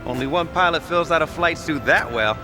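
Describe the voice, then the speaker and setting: A man's voice: on the high side, brisk, music in the background, close to an everyday voice. An actor playing a cocky, joking American fighter crewman with an American accent and a teasing, casual manner. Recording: clear background vehicle noise.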